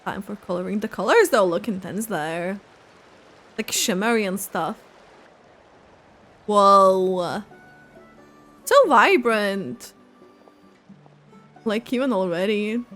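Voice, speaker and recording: A woman's voice: medium pitch, animated, slow pace, pauses often, fairly bright tone. A woman giving casual reaction commentary on a game, cooing, giggly and gushing. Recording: game music faintly behind.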